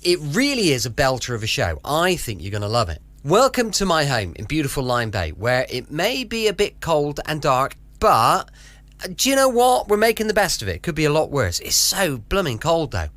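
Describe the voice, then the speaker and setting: A British man hosts a homely podcast in a chatty style. His voice is slightly high and very animated. It is recorded close and dry. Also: normal speed, no echo. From United Kingdom. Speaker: podcast host. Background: faint music.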